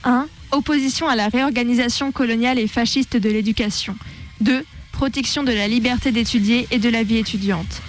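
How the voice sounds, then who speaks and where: A woman's voice: a little high, fairly even intonation, a little fast, slight background noise. A woman reading out a scripted numbered list as a co-reader on a radio programme. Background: music.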